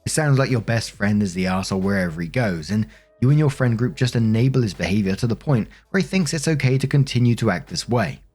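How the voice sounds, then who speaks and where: A man's voice: on the low side, expressive, fast, darkish tone. Male story narrator over background music. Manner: informal, direct address to listeners. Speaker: narrator and host of online story videos. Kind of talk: conversational narration of online relationship posts with commentary. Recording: music behind the voice.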